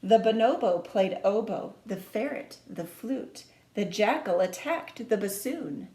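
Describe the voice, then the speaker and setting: Lady doing kids storytime, sing-songy expressive reading, medium pitch, kinda echoey room. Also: measured pace. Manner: expressive, sing-song rhyming delivery with asides to young listeners. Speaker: music teacher reading a picture book to children. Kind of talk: children's storytime read-aloud.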